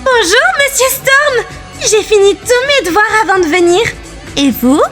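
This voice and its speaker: A woman's voice: very high-pitched, animated, measured pace, a bit bright, faint music behind, heavily acted. A woman voicing a small, polite, timid young rabbit girl in an amateur cartoon fandub, with a childlike manner. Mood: polite, sweet.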